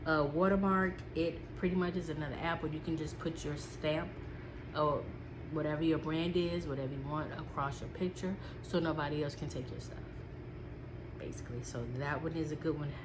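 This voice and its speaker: A woman with a stuffed-up, sniffly nose talks through her notes in a low, relaxed voice. She chats along casually, filling pauses with ums and circling back over her points. A steady hiss sits under the recording. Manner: chatty, with frequent "um" and repeats. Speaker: small business vlogger.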